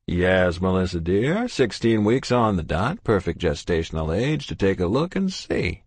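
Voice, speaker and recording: Male narrator playing a gentle, unhurried old doctor, always good-humoured, slightly raised pitch, in a dry booth. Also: very animated, husky, no echo, exaggerated voice. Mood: cheerful. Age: older. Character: kindly cheerful older male doctor.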